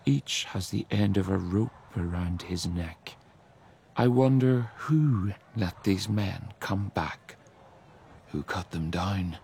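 Gravelly low-voiced man reciting poetry. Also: darkish tone, a little hiss or hum. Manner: measured and declamatory. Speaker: poetry reader. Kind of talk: dramatic poetry reading.

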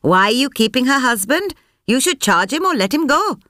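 A woman narrator voicing a fierce, defiant woman gang leader who shouts and scolds. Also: sits high, animated, moderate pace, no room sound, clean studio sound, pitched above the performer's own voice. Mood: indignant.

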